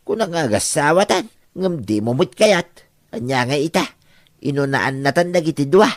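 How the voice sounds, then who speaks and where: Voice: medium pitch, expressive, normal speed, no room sound, studio quality, lightly acted. An actor playing a reasoning older parent with an Ilocano accent in a comedy radio drama. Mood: matter-of-fact.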